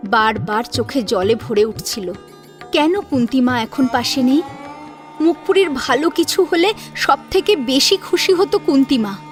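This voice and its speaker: A woman's voice: high voice, expressive, a little slow, faint music behind. A woman narrating a scripted audio story in a dramatic storytelling style.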